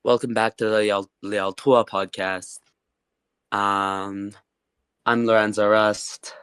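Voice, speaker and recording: A man's voice: lowish voice, level tone, slow pace, a bit bright, smooth. A young man from the United States hosting a casual podcast interview, hesitant as he searches for words. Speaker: podcast host.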